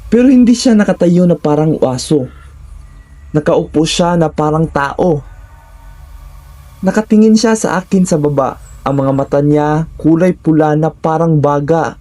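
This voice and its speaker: Mellow Filipino man narrating horror. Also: a little high, measured pace, long pauses. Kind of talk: scripted first-person horror story narration.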